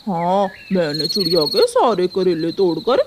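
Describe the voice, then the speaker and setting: A woman's voice: fairly low pitch, sing-song, measured pace, very noisy. A woman voicing a lazy, smug, thieving male crow in a children's cartoon.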